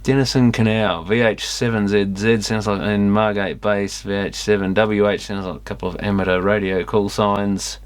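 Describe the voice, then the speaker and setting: A man's voice: lowish voice, level tone, normal speed, hardly any pauses, no room sound. A man giving casual, off-the-cuff commentary as he looks over gear, muttering and mildly grumbling to himself. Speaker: electronics repair vlogger. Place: electronics repair workshop. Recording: clicky, clearly noisy external camera mic.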